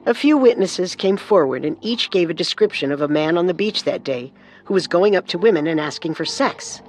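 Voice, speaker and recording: A man with a fairly high voice narrates a scripted true-crime story as co-host. He explains details in a storytelling way, with soft music in the background. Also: expressive, a little fast.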